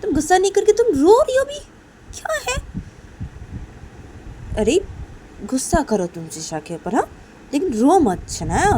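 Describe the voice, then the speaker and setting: Woman playing scolding storybook mother, high voice, insistent, repetitive, nagging, faint background noise. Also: dark tone, low-quality recording. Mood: scolding, urging. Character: nagging, exasperated, scolding mother of young women.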